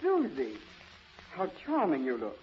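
Jovial gentleman escort, highish voice. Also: huge pitch swings, unhurried, a little hiss or hum, heavily acted. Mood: gallant, jovial. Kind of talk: 1930s radio acting. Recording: muffled old 1930s radio recording.

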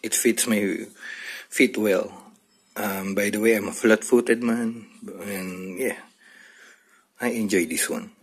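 A man chatting casually about sneakers in a low-key, halting way, recorded on a phone in a bedroom. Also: fairly even intonation, slightly rough. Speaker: sneaker reviewer. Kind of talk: casual unboxing chat. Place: small bedroom, indoors.

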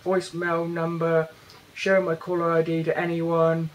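Young British man, slightly high, flat delivery, halting with lots of fillers; casual gadget walkthrough recorded indoors on a camera mic. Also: highs cut off. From Britain. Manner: hesitant, many fillers and restarts. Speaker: tech reviewer. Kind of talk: casual hands-on product walkthrough. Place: indoors, bedroom.

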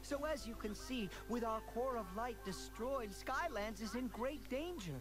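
Earnest, fussy little game scholar, explaining things, music behind. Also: male voice, very high voice, lively intonation, measured pace. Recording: game audio under music.

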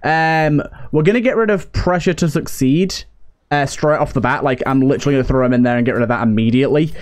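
A man's voice: mid-range voice, fast talker. A man giving casual video game commentary and storytelling, rambling and thinking aloud as he plays. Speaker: host of a video game roleplay series. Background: game music.

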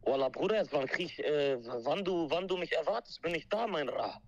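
Guy on a dull phone line, bit high pitched, casual street slang with arabic bits. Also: expressive, a little fast. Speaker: phone caller. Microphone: phone call played into a stream. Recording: dull, band-limited phone call.

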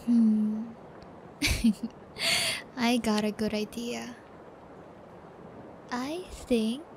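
A woman's voice: a little high, a little hiss or hum, poor audio. A woman playing a sadistic, possessive psychopath who keeps a captive pet, taunting and gloating in an intimate ASMR audio roleplay. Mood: sly, threatening. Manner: taunting, gloating, giggly, drawn-out threats.

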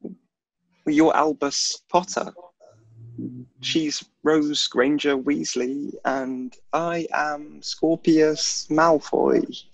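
A man's voice: on the high side, steady tone, extremely slow, gravelly, no room sound, full character voice. A young British man playing a nervous, chatty, self-deprecating schoolboy wizard in a play reading, with a hesitant, stammering delivery. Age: young adult. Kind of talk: theatrical table read. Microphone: video call. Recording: dull video-call audio.

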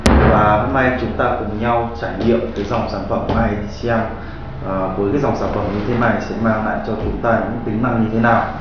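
A man casually demonstrating a power tool at a workshop table, pausing often with fillers. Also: on the low side, fairly even intonation, dull audio, heavy background noise. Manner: casual, halting with many fillers. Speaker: product demonstrator. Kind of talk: product unboxing and demo. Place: indoor workshop at a wooden table. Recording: echoey room from far off, loud music under.

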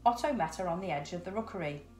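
A woman's voice: fairly low pitch, animated, fast, a little mellow, faint music behind. A middle-aged woman reading a children's story expressively. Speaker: storybook reader. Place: indoors, small room with cupboards. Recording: roomy echo.

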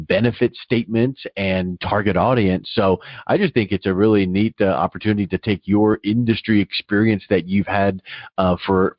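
A man's voice: lowish voice, no room sound. A man hosting a business podcast interview in a conversational style, recorded with slightly dull audio.

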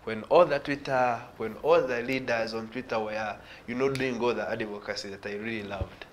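An earnest young man with a Ugandan accent and a middle-pitched voice recounts his points on a studio panel. A lapel mic picks him up over a faint hiss. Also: fairly even intonation, moderate pace, poor audio. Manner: earnest, illustrates points with hand gestures. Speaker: studio guest, youth organisation director.

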